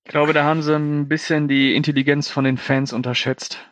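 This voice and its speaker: A man's voice: fairly high pitch, flat delivery, brisk, no room sound. A man co-hosting a film news show in casual, slangy conversation.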